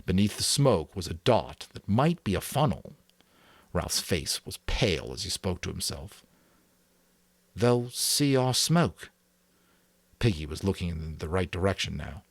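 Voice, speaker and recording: A deep-voiced man reads a novel aloud in a dramatic audiobook manner. His low voice rises and falls freely, and his storytelling is expressive. The recording is close and dry. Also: moderate pace, gravelly, breathy edge, no echo. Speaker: narrator.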